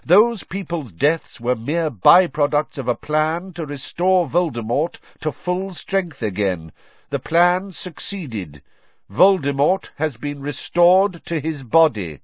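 A man's voice: fairly high pitch, very animated, no room sound, voice pushed up. Dumbledore: a man doing a grave old headmaster. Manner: sharp, measured. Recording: dull, dry lo-fi audio.

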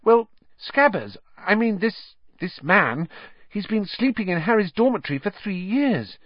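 A man's voice: high voice, sing-song, a little slow, dry recording, dull audio, pitched above the performer's own voice, heavily acted. A man reading an audiobook story, voicing a timid, earnest teenage schoolgirl who speaks hesitantly and inquisitively. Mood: hesitant, questioning.

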